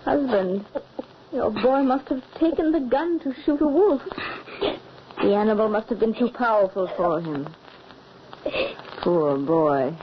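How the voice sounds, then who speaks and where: A woman's voice: on the high side, animated, a little slow, long pauses. A woman playing a sly, cold stepmother who feigns sweetness, speaking with arch formality in old radio horror. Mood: cold control. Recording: muffled, noisy old radio recording.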